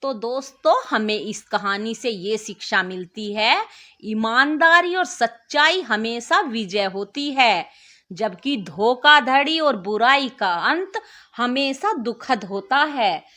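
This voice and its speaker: A woman narrating a scripted moral bedtime story as a channel host, with an expressive, deliberate storytelling delivery. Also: a little high.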